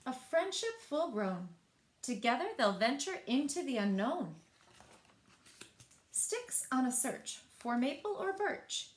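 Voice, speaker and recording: Female children's storyteller, slow and very lively, in a roomy, echoing space. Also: high voice. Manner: animated, with asides to young listeners. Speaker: library storyteller. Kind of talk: expressive children's picture-book read-aloud.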